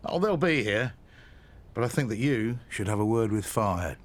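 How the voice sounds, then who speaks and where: Actor as older male publican, cheeky and lowish-voiced, radio soap banter. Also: expressive, moderate pace, natural voice. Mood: playful.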